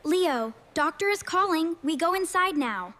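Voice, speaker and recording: A woman voicing a caring cartoon mother who speaks gentle, simple broken English. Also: very high voice, animated, unhurried, full character voice.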